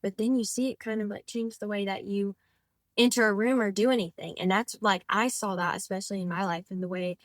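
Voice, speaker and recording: A woman's voice: medium pitch, steady tone, a little fast, no room sound, clean studio sound. A woman in her twenties, a podcast guest and author, talking in a chatty, rambling way with lots of "like" fillers and self-corrections. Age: 20s. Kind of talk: casual conversational interview.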